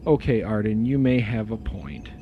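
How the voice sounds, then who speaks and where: A man's voice: lowish voice, sing-song, normal speed, highs cut off, soft background music. A man playing an exasperated, rebellious starship first officer in an audio drama, blunt and sarcastic. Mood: stern. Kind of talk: fan audio drama acting.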